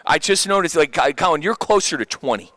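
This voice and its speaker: A man's voice: a little high, expressive, fairly quick, fairly bright tone, dry recording. A thirty-year-old man hosting a sports radio show, chatting casually and animatedly, often repeating and stumbling over his phrases. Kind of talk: casual radio chat, personal.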